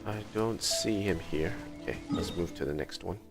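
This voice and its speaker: Very deep-voiced man streaming a game. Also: moderate pace, vocal fry. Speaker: livestream host. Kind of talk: casual gaming livestream commentary. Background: video game music.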